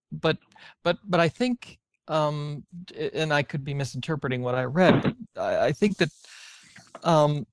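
A man taking part in a discussion as a questioner, speaking in a hesitant, halting and careful way. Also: on the high side, no echo. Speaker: book club participant asking questions. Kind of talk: conversational question to a guest.

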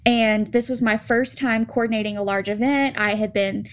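Young woman, slightly high voice, soft chatty podcast guest. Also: steady tone, moderate pace. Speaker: event coordinator. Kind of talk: casual podcast conversation.

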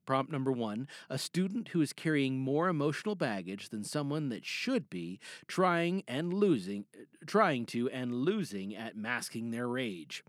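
A man's voice: medium pitch, no echo, studio quality. A man hosting a podcast in a casual, chatty, playful way, often thinking aloud. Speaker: podcast host and voice performer.

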